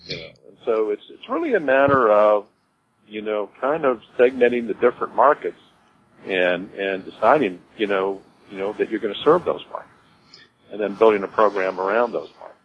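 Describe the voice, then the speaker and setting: A man answering interview questions informally as a business executive, in a halting way, repeating words as he explains. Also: lowish voice, lively intonation. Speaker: cemetery executive being interviewed. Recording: dull lo-fi audio with clear noise.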